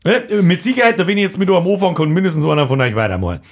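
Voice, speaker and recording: A man's voice: high voice, lively intonation, rapid-fire. A man with a Bavarian accent co-hosting a podcast, bantering casually in a blunt, opinionated, sweary way. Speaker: podcast co-host.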